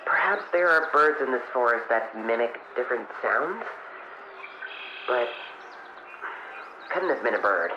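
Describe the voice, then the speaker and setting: A man's voice: mid-range voice, lively intonation, measured pace, long pauses. A man voicing an earnest young male fox adventurer recording audio drama logs, speaking hesitantly and trailing off mid-thought through a radio-log filter. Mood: uneasy, puzzled. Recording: muffled lo-fi radio sound, clear background noise.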